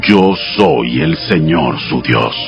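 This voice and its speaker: A man playing God as a solemn, commanding male deity, delivering measured commands in a dramatized audio scripture. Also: very deep voice, lively intonation, vocal fry, highs cut off, full character voice. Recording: music behind the voice. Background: music.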